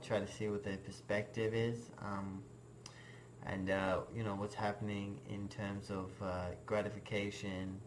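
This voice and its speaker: A young man raised in Australia hosting a casual podcast interview, chatty, with lots of fillers and quick laughs. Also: fairly low pitch, slight background noise, low-quality recording. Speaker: podcast host.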